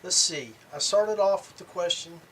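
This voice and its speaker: A man with a slightly high voice hosts a casual podcast on his own. He speaks in a halting way, pausing mid-phrase, and some noise sits behind his voice. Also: fairly bright tone, low-quality recording. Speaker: podcast host. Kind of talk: casual solo podcast talk.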